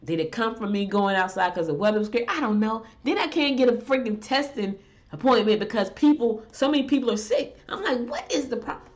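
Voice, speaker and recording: A woman's voice: expressive, fairly quick. A middle-aged woman hosting a casual solo talk show, stuffy from a head cold, chatting loosely and ranting in exasperation. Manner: chatty, exasperated rants with rising pitch. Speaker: show host. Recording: dull lo-fi audio.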